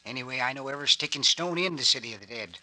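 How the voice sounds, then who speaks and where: Gruff backwoods graveyard keeper, suspicious and ornery, very bright cutting voice, vintage radio play. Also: male voice, medium pitch, rapid-fire, poor audio. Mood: stubborn, threatening. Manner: gruff, rural folksy speech. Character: crusty old rustic graveyard caretaker, stubborn, suspicious. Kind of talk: old-time radio drama acting.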